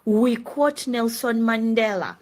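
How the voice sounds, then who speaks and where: A woman's voice: fairly high pitch, slow, darkish tone. A woman heard in a played clip, lecturing in an accusatory way.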